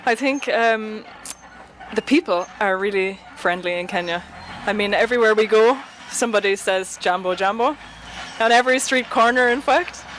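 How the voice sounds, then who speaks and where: A woman's voice: medium pitch, moderate pace, fairly bright tone, slight background noise, poor audio. A woman with an Irish accent, answering interview questions in a direct, confident way. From Ireland. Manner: direct, confident, advice-giving. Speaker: guest.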